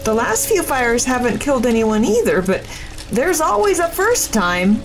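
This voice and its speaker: A woman's voice: high voice, sing-song, normal speed, low-quality recording. A woman acting in an audio drama as a shaken, grateful neighbourhood woman rescued from a fire. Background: faint background music.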